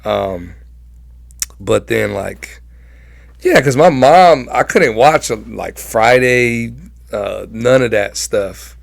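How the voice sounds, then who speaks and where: A man's voice: medium pitch, slow, creaky, no echo, studio quality. A young American man telling personal stories casually as an interview guest, in informal, slangy speech. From Southern California, United States. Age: young adult.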